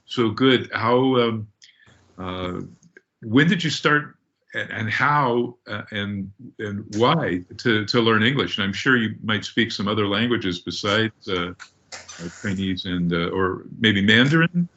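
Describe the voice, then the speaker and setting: A man's voice: fairly low pitch, slow, bright, forceful tone, lo-fi sound. A man co-hosting a podcast, asking long, rambling questions. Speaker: podcast co-host. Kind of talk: interview questions.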